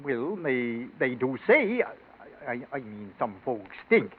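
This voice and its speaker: An actor playing an old, grumbling yet deferential Yorkshire servant in a 1940s radio drama, in hesitant dialect. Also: male voice, mid-range voice, very animated, takes it slowly. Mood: uneasy. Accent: Yorkshire English. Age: older. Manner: dialect speech, hesitant stammering. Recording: tinny, noisy 1940s radio recording.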